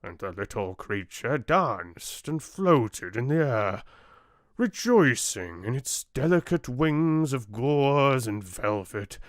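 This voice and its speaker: A man voicing an ancient, solemn and gentle tree-giant who narrates a fairy tale audiobook in a deliberate, measured manner. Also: mid-range voice, no echo, clean studio sound, close to an everyday voice.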